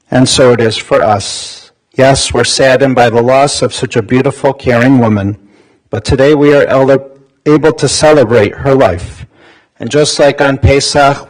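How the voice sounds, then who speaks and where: A man officiating at a funeral in a chapel, measured and formal. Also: mid-range voice, rough recording. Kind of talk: funeral address.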